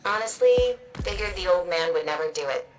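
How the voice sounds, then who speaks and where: Low-voiced, skeptical female android acted by a woman. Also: expressive, brisk, far from the mic, a little hiss or hum, lightly acted. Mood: uneasy, reflective. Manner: skeptical, pointed. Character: wary, sardonic female android plotting in secret. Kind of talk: conversational game dialogue.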